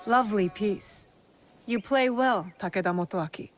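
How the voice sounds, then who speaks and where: A woman's voice: mid-range voice, moderate pace, soft background music, natural voice. A woman voicing a resolute young female assassin in a video game, terse, solemn and stern. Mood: cold, righteous. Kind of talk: dramatic video game acting.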